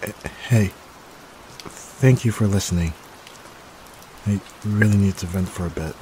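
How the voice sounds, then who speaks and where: A man playing a timid, gentle young catboy in an ASMR roleplay, speaking hesitantly with stammers. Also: fairly low pitch, a little slow, close to an everyday voice, poor audio. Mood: sad, confiding. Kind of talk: soft-spoken ASMR roleplay. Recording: steady rain noise behind voice. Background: rain.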